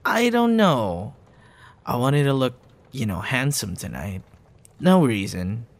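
A young man playing a tender, hesitant suitor in an intimate ASMR boyfriend roleplay, speaking softly and haltingly. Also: mid-range voice, very animated. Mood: shy, pleased. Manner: soft, hesitant, with sighs and chuckles. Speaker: audio roleplay voice actor.

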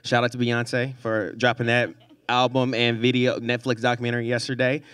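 A man in his thirties from Houston speaking on a panel into a handheld mic, energetic and rallying the student audience. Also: mid-range voice, moderate pace, smooth, dry recording. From Houston, Texas. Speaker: panelist, nonprofit director. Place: stage at a large event space. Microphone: handheld microphone.

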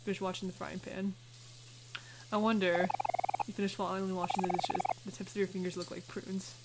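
A woman's voice: mid-range voice, little pitch movement, brisk, heavy background noise. A woman doing casual let's play commentary, chattily thinking aloud and reading game text out as she goes. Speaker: gaming video host.

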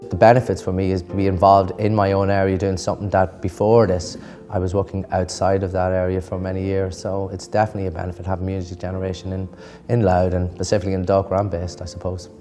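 Middle aged guy, deep mellow voice, talks fast, music behind. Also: little pitch movement. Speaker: music educator.

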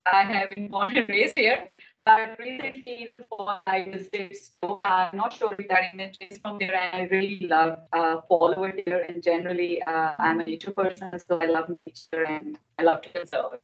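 A woman with a lowish voice introduces herself in a conference room, hesitating often. The room mic is distant and dull. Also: level tone, normal speed. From Pakistan. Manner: hesitant, many fillers. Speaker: council member. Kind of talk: casual introduction at a meeting.

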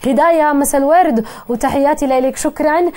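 A woman in her thirties with a Jordanian Arabic accent, hosting a live call-in television show from a studio desk, talking in a brisk, friendly way. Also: high-pitched, a little mellow.

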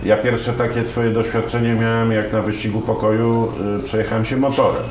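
Guest, male, 50s, low register, chatty storyteller with restarts; roomy sound with music underneath. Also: little pitch movement, a little fast, a little mellow. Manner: storytelling, many fillers and restarts. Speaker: sports commentator, interview guest. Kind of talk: relaxed conversational interview. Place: dim room lined with wine shelves, indoors. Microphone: lapel mic.

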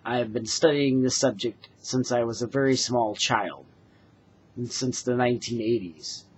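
A man in his forties from the United States gives an earnest, halting product demonstration as a craftsman, recorded indoors on a webcam mic. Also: mid-range voice, a little hiss or hum. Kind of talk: informal product demonstration to camera. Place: small bedroom, indoors. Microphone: webcam or laptop mic at desk distance. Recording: dull, lo-fi webcam sound.

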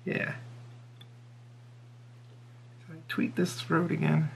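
A man's voice: fairly high pitch, completely flat delivery, slow, creaky, slight background noise. A middle-aged man giving a casual fly tying tutorial at his desk, thinking aloud and dropping to mutters while he works.

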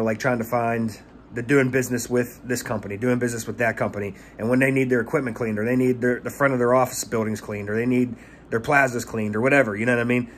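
A man's voice: medium pitch, slight background noise. A man in his thirties giving rambling tips in a casual vlog, stumbling and repeating words, recorded on a phone held at arm's length in a small room. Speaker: pressure washing business owner. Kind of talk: casual talking-head vlog.

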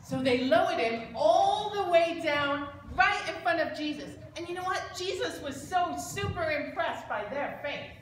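A middle-aged woman, a church storyteller narrating in an animated way for children. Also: extremely high pitch, measured pace, few pauses. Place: large church sanctuary. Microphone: handheld microphone. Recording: echoey church, noisy room.

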